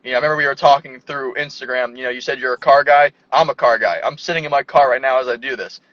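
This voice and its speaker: A man's voice: medium pitch, fairly even intonation, fast talker. A man chats as a guest from inside a parked car, loose and rambling, leaning on "like" as he talks. The sound is close and dead, with no room echo. The recording is a little dull and lo-fi. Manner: loose, chatty, heavy use of "like". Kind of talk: casual chat.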